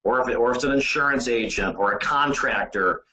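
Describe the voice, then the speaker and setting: A man giving informal sales training in a halting, conversational way, often saying "you know". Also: mid-range voice, sharp tone. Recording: roomy, echoey sound.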